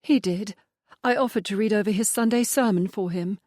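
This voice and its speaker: A gossipy young lady with a sharp, prying manner, pitched higher and louder than the narrator's own voice, as a woman reader puts her on. She needles and teases, and the recording is dry. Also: fairly quick, no echo, lightly acted. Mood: brisk. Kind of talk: audiobook dialogue.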